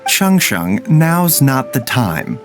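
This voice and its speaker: A man playing a gentle, courteous doctor in a video game, with polite, measured, formal phrasing. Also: medium pitch, very animated, faint music behind. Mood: courteous, composed. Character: gentle, courteous male doctor, composed and soft-mannered. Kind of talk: video game character acting.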